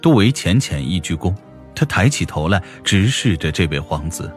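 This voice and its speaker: Deep-voiced male audiobook narrator. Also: very animated, moderate pace, soft background music. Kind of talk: scripted story narration.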